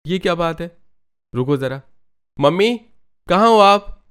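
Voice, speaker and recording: Man acting an animated, exasperated young husband. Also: sits high, a little slow, clean tone. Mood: exasperated, concerned. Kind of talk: Hindi family moral-story cartoon acting.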